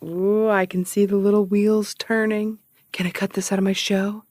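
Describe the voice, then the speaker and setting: An actress playing a cocky, sarcastic amateur investigator and broadcaster who teases and rambles defensively in an audio comedy-drama. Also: female voice, mid-range voice, flat delivery, moderate pace, darkish tone, no echo, studio quality, lightly acted. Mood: smug, needling. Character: cocky, needling amateur investigator and radio broadcaster, adult woman. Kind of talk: naturalistic audio fiction comedy-drama acting.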